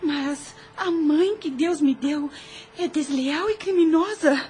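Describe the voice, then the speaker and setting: A distraught young woman pours out bitterness in formal, emphatic phrases. Her voice is high, with a Brazilian accent. It is melodramatic radio soap acting with faint music behind it. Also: takes it slowly, a little mellow. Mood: bitter.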